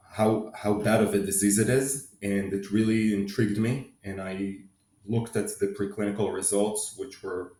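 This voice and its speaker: A man who grew up in Israel, answering interview questions in a measured, thoughtful way with frequent restarts. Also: on the low side, mellow, echoey room. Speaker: guest, company CFO.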